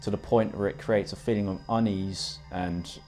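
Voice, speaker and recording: A man's voice: lowish voice, fairly even intonation, normal speed, a little mellow. A man narrating a scripted video essay in an informal, explanatory manner with casual asides. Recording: music behind the voice. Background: music.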